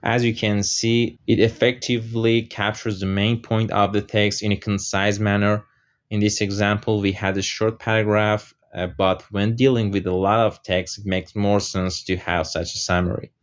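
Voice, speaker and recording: Male tutorial narrator, Persian native, lowish voice, steady step-by-step delivery with "uh" fillers. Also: normal speed. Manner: steady explaining with frequent "uh" fillers. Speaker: software tutorial presenter. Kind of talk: screen-recorded software walkthrough.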